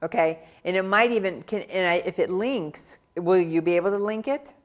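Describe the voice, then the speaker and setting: A woman's voice: fairly low pitch, normal speed. A woman hosting a local television interview show, friendly and encouraging toward her guest. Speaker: talk show host.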